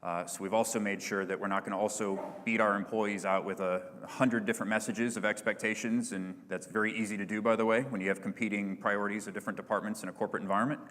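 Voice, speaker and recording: A man with a lowish voice answers audience questions at a business conference. He talks in an easygoing corporate way, full of small fillers, and a long hall reverb trails after his words. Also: fast talker, hardly any pauses. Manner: relaxed, filler-heavy corporate speaking. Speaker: conference presenter.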